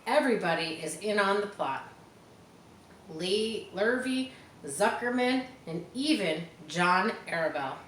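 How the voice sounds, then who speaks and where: A woman's voice: medium pitch, lively intonation, measured pace, a bit bright, roomy sound, slight background noise, rough recording. A woman voicing a grim, gossipy old female sheep from a storybook, with a matter-of-fact, snappish manner. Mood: blunt, grim.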